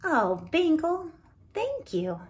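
A woman's voice: very high-pitched, huge pitch swings, slow, pitched above the performer's own voice, heavily acted. A woman voicing a hopeful, creative woman hat-maker in a storybook, earnest and grateful.

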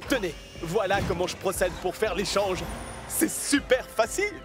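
A boastful little hero of a fire engine from a children's cartoon. The voice is very high and lively, showy and eager, easily deflated, over clear background music. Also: male voice, normal speed. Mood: showing off. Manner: showy, self-promoting heroic delivery. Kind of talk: children's cartoon voice acting, French dub.

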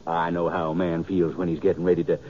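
A man's voice: low voice, level tone, fast, darkish tone, natural voice. An actor playing a jovial, teasing western townsman with folksy, chuckling talk in a 1950s radio drama. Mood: folksy, hearty. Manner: chuckling, folksy rural phrasing. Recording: thin phone-like old radio recording.

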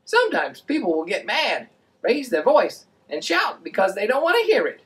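A woman voicing an excitable, friendly boy puppet, explaining things in an animated way for a children's show. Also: medium pitch, moderate pace, far from the mic. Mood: earnest.

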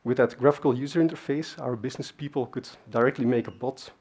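Husky man giving a talk. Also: medium pitch, fast, a little mellow. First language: Dutch. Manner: conversational, many fillers and asides. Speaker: conference speaker. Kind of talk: technical conference talk. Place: large dark conference stage.

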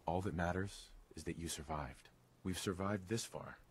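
A man's voice: sits low, normal speed, a little hiss or hum. An actor voicing an earnest, weary husband and father who survived a vault in a video game. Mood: steady, reassuring. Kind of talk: video game dialogue acting.

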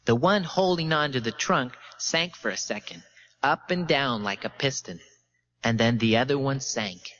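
A man voicing an unstable teenage schoolboy in an audiobook, waggish and energetic, with a false, eerie confidence. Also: medium pitch, normal speed, lo-fi sound, close to an everyday voice. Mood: waggish, deranged. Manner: energetic, eerily bright, clear. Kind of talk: audiobook character reading.

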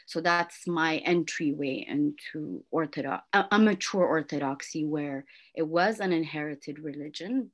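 A young adult woman from Ethiopia, an anthropologist speaking on a video-call panel in a measured, thoughtful way with some restarts. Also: low-pitched. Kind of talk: academic panel talk. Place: small room at home. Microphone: laptop video call.

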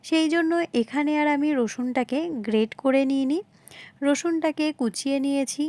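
High-pitched woman narrating a recipe. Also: moderate pace, darkish tone, dry recording. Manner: polite, repetitive explaining, addressing viewers directly. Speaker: home cooking video host. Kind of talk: recipe narration, instructional voice-over.

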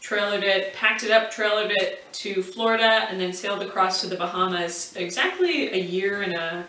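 A woman in her thirties, co-hosting a sailing vlog at home, chatty and quick to laugh. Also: mid-range voice, pushed and bright, slight background noise. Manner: chatty, laughs easily, playful. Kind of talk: casual chat. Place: living room at home, indoors. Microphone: far camera. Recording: echoey room, mic at a distance.

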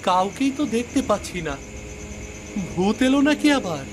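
A man's voice: high-pitched, big pitch swings, moderate pace, background noise. A man voicing a kind-hearted, naive village fisherman in a cartoon, earnest and exclaiming in wide-eyed fashion. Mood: startled. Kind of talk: cartoon voice acting.

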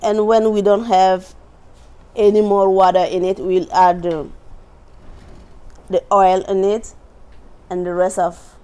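A woman's voice: mid-range voice, level tone, slow pace, long pauses, mellow. A woman from Burkina Faso with a West African accent, giving a cooking demonstration as the instructor in a kitchen. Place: large indoor teaching kitchen.

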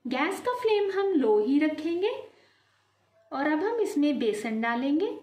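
A woman hosting a home cooking video, calmly talking viewers through each step and calling them friends. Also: sits high, a little slow, reverberant. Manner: addresses viewers as friends, calm explaining. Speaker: cooking channel host. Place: home kitchen at the stove.

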